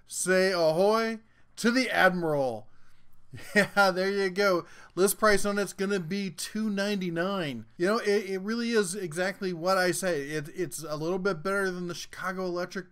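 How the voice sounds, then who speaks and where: A man's voice: sits high, fairly even intonation, normal speed, a bit bright, clean tone. A man hosting a tool review channel, giving a casual, folksy and jokey rundown of a new product as a voice-over.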